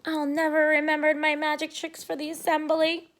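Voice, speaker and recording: A woman voicing an anxious schoolboy with a sly streak from a children's storybook, in an exaggerated, expressive way. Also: sits high, moderate pace, voice pushed up. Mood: worried. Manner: exaggerated, expressive read-aloud delivery.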